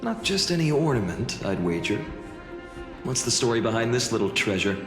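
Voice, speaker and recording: A man's voice: medium pitch, very animated, normal speed, natural voice. A man acting an earnest young guard hero in a video game, speaking theatrically. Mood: playful, confident. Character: young male holy city guard, earnest hero haunted by loss. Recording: echoey reverb, music behind.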